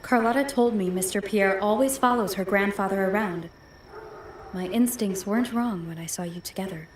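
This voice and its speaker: A woman's voice: medium pitch, moderate pace, lightly acted. An actress playing a perceptive young woman in a video game. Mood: knowing, guarded. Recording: echoey, clear background ambient noise.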